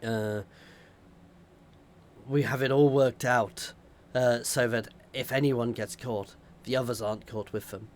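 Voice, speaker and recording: A man with a slightly hoarse voice reading aloud the lines of a desperate male peasant rebel prisoner, in a hushed, pleading way. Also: medium pitch, steady tone, measured pace, slight background noise, natural voice.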